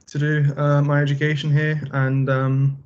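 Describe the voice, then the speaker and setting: A young man from Bulgaria, a final-year student, giving a relaxed, conversational talk with many fillers. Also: a little high, moderate pace, clean tone, lo-fi sound. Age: young adult. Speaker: student presenter. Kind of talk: casual presentation.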